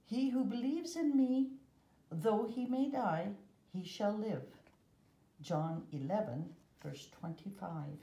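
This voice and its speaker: A mellow-voiced woman reads a children's religious picture book aloud. She goes very slowly and deliberately, leaving pauses between phrases. The sound is roomy and echoing. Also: medium pitch, slight background noise. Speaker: storybook reader.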